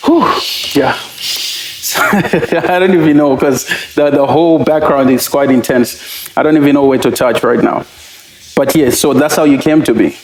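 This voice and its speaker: A man's voice: on the high side, unhurried. Upbeat male host in an echoey room. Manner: upbeat, repeats "guys" and "exactly". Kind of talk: casual interview hosting. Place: distillery room.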